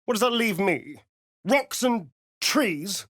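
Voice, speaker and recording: A man's voice: high voice, lively intonation, slow pace, a bit bright, no room sound, studio quality, full character voice. A man playing a vain, sardonic vampire rogue in a video game, with an arch, theatrical, drawling delivery. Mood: exasperated, disdainful. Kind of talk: video game character voice lines.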